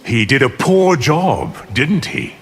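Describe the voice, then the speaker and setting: A man's voice: mid-range voice, big pitch swings, takes it slowly. A man playing a scheming, power-hungry sorcerer villain in a fighting game, speaking with condescending menace. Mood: sly, menacing. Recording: long reverb, loud background noise.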